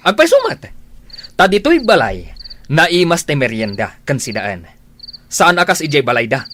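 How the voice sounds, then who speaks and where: A man's voice: a little high, huge pitch swings, normal speed, sharp tone, a little hiss or hum, heavily acted. A man acting a domineering, suspicious husband in a radio drama, contemptuous and boastful. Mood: contemptuous, angry.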